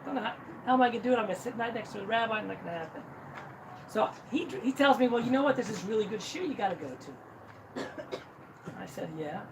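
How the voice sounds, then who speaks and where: A man's voice: high-pitched, expressive, fast, pauses often. A middle-aged man from Ohio telling a self-deprecating, joking personal story to a crowd. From Cincinnati, Ohio, US. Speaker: speaker at a celebration. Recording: distant mic, noisy hall.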